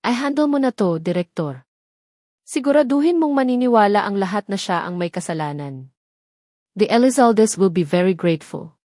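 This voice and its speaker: A woman's voice: mid-range voice, lively intonation, normal speed, a little mellow, dry recording, clean studio sound, lightly acted. A woman voicing a cold, authoritative, ruthless male fixer in a narrated drama story. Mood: cold, dismissive. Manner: commanding, ruthless.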